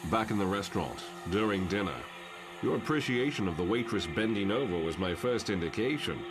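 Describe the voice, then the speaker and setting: A man voicing a calm, fatherly telepath professor in a motion comic, speaking in a measured, wry, articulate way. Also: fairly low pitch, over music, lightly acted. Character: calm, fatherly older male telepath professor confronting his son. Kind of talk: dramatic motion comic voice acting.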